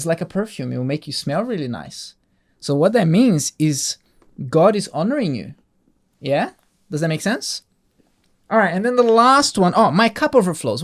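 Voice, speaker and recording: A man's voice: a little high, very animated, normal speed. A man hosting a Bible reading, patiently explaining scripture to a child with coaxing questions. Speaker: host of a Bible reading show.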